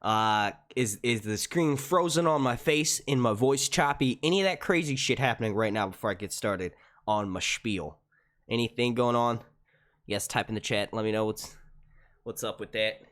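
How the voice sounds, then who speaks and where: A young man hosting a casual live stream at home into a desk microphone, chatty and profane, with a naturally loud, carrying voice. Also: medium pitch, moderate pace. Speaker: YouTube live stream host. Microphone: desk mic.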